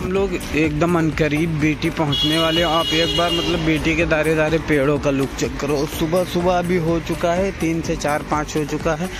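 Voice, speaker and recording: A young man chats freely to his viewers as he walks the streets at dawn, holding his phone out at arm's length. His voice sits a little on the high side and is friendly and talkative. Music plays clearly underneath his words. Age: young adult. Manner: chatty, addresses viewers as bhai and yaar. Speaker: vlogger. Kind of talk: casual vlog narration. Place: outdoors on city streets and at a railway station at dawn. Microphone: phone held at arm's length.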